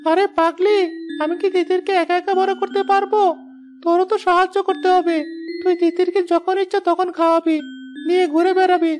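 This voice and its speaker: Woman voicing an earnest, very high-pitched little village boy. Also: flat delivery, fairly quick, darkish tone, faint music behind. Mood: eager, affectionate. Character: earnest, caring, responsible schoolboy son of a poor village family. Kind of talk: scripted children's moral story cartoon dubbing.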